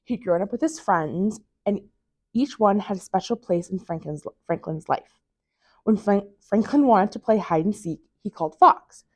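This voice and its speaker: A woman reading a children's picture book aloud as a library storytime reader, with expressive storytelling. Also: mid-range voice, normal speed.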